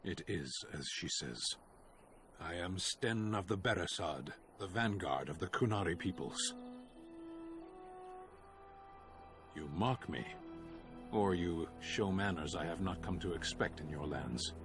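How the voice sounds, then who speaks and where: A proud, stoic warrior of few words. The voice is extremely low and the delivery is grave and formal. Music plays clearly behind it. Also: male voice, lively intonation, measured pace. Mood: grim, resigned. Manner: terse, solemn, formal. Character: stoic, proud warrior prisoner of a foreign people.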